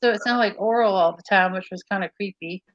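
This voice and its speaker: A woman's voice: mid-range voice, fast, a little hiss or hum. A woman chatting casually and teasingly, telling stories at length. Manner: teasing, talkative storyteller. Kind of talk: casual chat. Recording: dull lo-fi sound.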